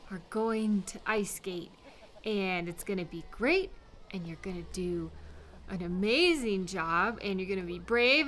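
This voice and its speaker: Bright-voiced, nervous young woman, acted by a woman. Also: on the high side, a little hiss or hum. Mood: nervous, self-encouraging. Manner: halting, self-talking, giggly and apologetic. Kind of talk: intimate audio roleplay acting.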